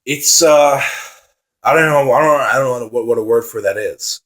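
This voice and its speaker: A young man talks through football results in a loose solo vlog. His voice has gone a bit dry and raspy from talking at length. He speaks with eager enthusiasm, often repeating a phrase to stress a point, at an ordinary male pitch. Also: normal speed. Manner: enthusiastic, repeats himself for emphasis. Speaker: football vlogger. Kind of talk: casual solo reaction commentary.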